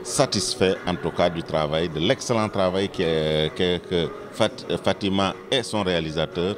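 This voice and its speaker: A man giving a formal congratulatory statement, deliberately and with drawn-out words. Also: sits low, crowd noise. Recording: music playing behind the voice.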